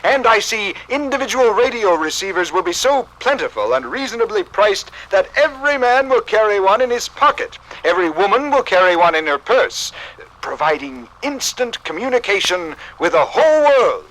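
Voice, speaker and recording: An actor playing a pompous, precise professor from the future in a 1950s radio drama, with formal diction. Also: male voice, sits high, very animated, normal speed, fairly bright tone, low-quality recording. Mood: lofty, proclaiming.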